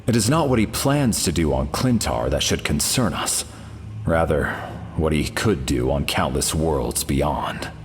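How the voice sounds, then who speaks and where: A man's voice: a bass voice, animated, unhurried, a little hiss or hum. A man voicing a solemn cosmic champion in a video game, with formal, lofty, grandiose phrasing. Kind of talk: video game character acting. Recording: long reverb, music behind.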